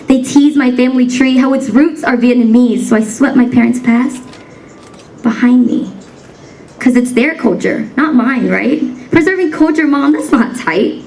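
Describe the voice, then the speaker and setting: A woman's voice: sits high, moderate pace, creaky, darkish tone, far from the mic, slight background noise, rough recording. A young woman from the United States performing a spoken word poem in a rhythmic, rhyming cadence, emphatic and defiant. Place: banquet hall stage.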